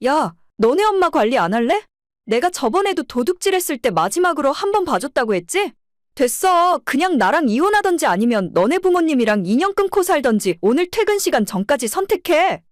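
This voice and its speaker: A woman's voice: sits high, sing-song, fairly quick, no echo, clean studio sound, voice pushed up. A woman voicing a furious, defiant young wife, indignant and sarcastic, in a dramatized story. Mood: angry ultimatum.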